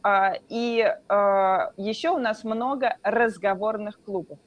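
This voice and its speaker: A woman from Russia, a Russian teacher, explaining things calmly in a casual interview with drawn-out fillers. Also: mid-range voice, lo-fi sound, a little hiss or hum.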